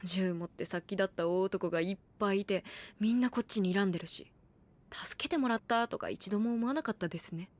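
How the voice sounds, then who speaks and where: A woman's voice: medium pitch, brisk, lightly acted. A woman as a guarded young anime heroine, hesitant yet blunt. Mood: blunt, resentful. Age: young adult. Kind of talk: anime audio drama, conversational acting.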